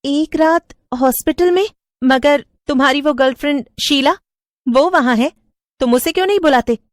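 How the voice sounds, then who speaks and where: Woman voicing an anxious, high-voiced young receptionist. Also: moderate pace, no echo, natural voice. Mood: shocked, concerned. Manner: expressive, questioning, hesitant. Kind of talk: dramatic cartoon story voice acting.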